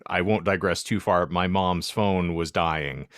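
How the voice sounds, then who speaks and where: Male podcast co-host, low register, close dry sound, wry and verbose casual storyteller with a self-deprecating streak. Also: a little slow, a bit bright, dry recording. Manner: wordy, wry, self-deprecating, with ornate vocabulary.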